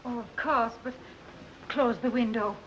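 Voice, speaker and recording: A woman playing a sociable, devoted colonial wife with an expressive, theatrical 1930s film delivery. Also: on the high side, a little slow, close to an everyday voice. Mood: worried. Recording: dull, noisy 1930s film soundtrack.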